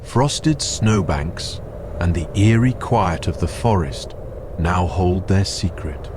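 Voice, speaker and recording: Horror narrator: male actor as solemn storyteller, low and very unhurried, over noisy ambience. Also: animated. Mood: solemn, ominous. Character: ominous, solemn storyteller of eerie tales. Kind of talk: ominous scripted horror narration.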